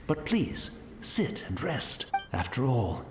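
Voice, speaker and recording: An actor voicing a gentle, humble blind old man in a video game, speaking formally and wistfully with resigned courtesy. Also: mid-range voice, big pitch swings, slow pace, background noise. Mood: humble, welcoming. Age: older. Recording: roomy echo, music behind. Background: background music.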